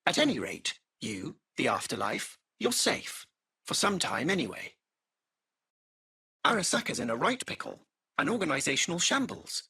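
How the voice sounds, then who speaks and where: An actor playing an urbane, chatty AI taxi driver in a video game, with a British accent and a polished, butler-like manner. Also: male voice, a little high, huge pitch swings, moderate pace, vocal fry, pushed and bright, slightly rough, exaggerated voice. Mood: gossipy, brisk.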